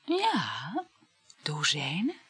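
A woman's voice: low-pitched, wildly swooping pitch, very slow, fairly bright tone, breathy edge, exaggerated voice. An actress playing a flighty, teasing, sensual woman with a lover in a Dutch radio drama, murmuring playfully and capriciously. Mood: airy, candid. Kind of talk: Dutch radio drama acting, intimate dialogue.

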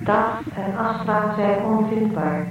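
A woman voicing a detached female android in a radio drama, speaking in a measured, mechanical way. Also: medium pitch, very noisy, close to an everyday voice. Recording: phone-like echoey distant sound with loud music.

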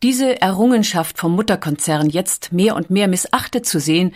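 A woman narrating a documentary in a calm, even storytelling manner. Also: on the low side, moderate pace.

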